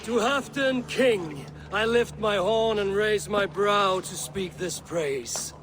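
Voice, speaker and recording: Man acting a high-voiced, bold Viking warrior. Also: lively intonation, moderate pace, music in the background, close to an everyday voice. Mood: ceremonial, rousing. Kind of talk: dramatic video game acting.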